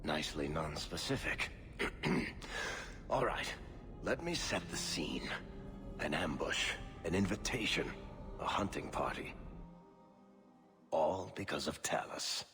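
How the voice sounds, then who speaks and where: An actor playing a wry, roguish storyteller in a video game, sardonic and theatrical in delivery. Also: male voice, extremely low pitch, animated, takes it slowly, pauses often, full character voice. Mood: wry, guarded. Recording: long hall reverb, music underneath.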